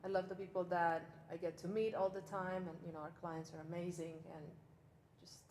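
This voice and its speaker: A woman's voice: on the low side, steady tone, fairly quick, darkish tone, echoey room, slight background noise. A woman in her forties from Romania, a fitness trainer chatting easily in an interview. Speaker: personal trainer, gym co-owner. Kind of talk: casual interview.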